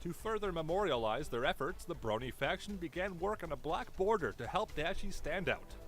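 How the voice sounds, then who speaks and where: A man's voice: on the high side, animated, moderate pace, fairly bright tone. A man reading a scripted documentary-style video narration with theatrical, suspenseful storytelling. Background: background music.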